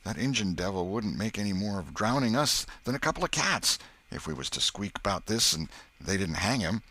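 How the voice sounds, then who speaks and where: Male reader as a wary country boy, husky, blunt, slangy, opinionated, forceful, storybook. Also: lowish voice, big pitch swings, fairly quick, voice pushed up, full character voice. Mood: insistent. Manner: opinionated, slangy rustic speech. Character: worldly, blunt, wary ragged village boy.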